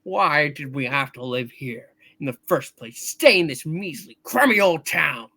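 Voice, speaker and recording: A frustrated, weary family dad in a comic radio play, put on by a man. He has a bright, forceful voice with lively pitch and an exasperated, hammy delivery. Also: unhurried, exaggerated voice. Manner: hammed-up, exasperated, stumbling reading. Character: frustrated family father, sharp-tempered, weary, loving. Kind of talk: live comedic radio play reading.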